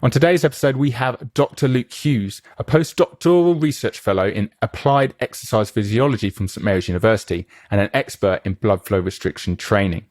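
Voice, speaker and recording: Low-voiced eager podcast host. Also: male voice, lively intonation, fairly quick, few pauses, a little mellow, dry recording, clean studio sound. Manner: eager, chatty, asks many questions. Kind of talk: conversational interview.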